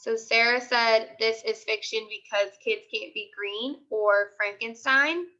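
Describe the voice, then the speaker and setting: A woman's voice: a little high, fairly even intonation, unhurried, bright, forceful tone, lo-fi sound. A woman teacher leading a live online class discussion, prompting with repeated questions and short commands.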